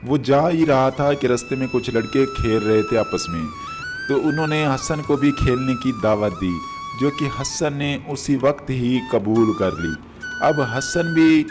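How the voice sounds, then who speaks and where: A man's voice: mid-range voice, expressive, measured pace. A man narrating a moral story for children as a storyteller. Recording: music behind the voice.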